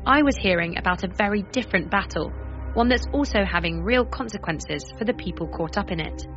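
Measured woman presenter narrating. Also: mid-range voice, lively intonation, a bit bright, highs cut off, faint music behind. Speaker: reporter and presenter. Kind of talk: scripted narration.

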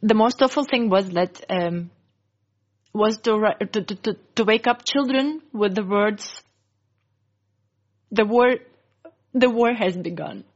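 A woman, a Russian speaker, telling her story in earnest, halting English in an interview. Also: medium pitch, highs cut off. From Ukraine. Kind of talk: emotional interview.

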